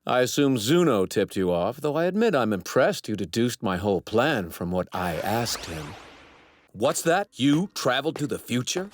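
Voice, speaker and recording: A man's voice: medium pitch, big pitch swings, moderate pace, dry recording. A man in an anime dub voicing an arrogant young apprentice god, a righteous villain who speaks formally and precisely with courteous menace. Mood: righteous, defiant. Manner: formal, haughty, precisely worded.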